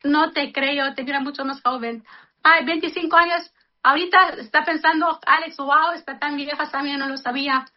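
A woman's voice: high voice, steady tone, normal speed. Earnest woman in her fifties praying. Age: 50s. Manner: earnest, addresses listeners as brothers and sisters. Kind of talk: spoken reflection and prayer. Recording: dull, lo-fi radio broadcast.

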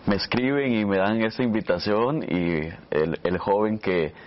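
A man's voice: on the low side, a little slow, lo-fi sound. A thirty-year-old man with a Salvadoran accent, talking conversationally as an interview guest and telling personal stories. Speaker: radio announcer and interview guest.

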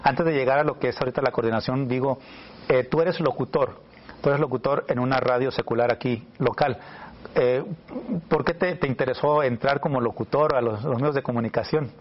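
A man's voice: medium pitch, brisk. Male talk show host, slightly muffled audio. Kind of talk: conversational faith interview.

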